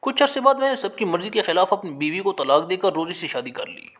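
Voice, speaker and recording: A man's voice: sits high, sing-song, fast, fairly bright tone. A man narrating a scripted first-person story in a dramatic, emotional manner.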